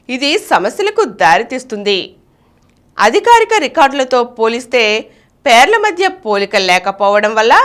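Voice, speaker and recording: A woman's voice: a little high, fairly bright tone. A woman reading a scripted informational article aloud as a narrator.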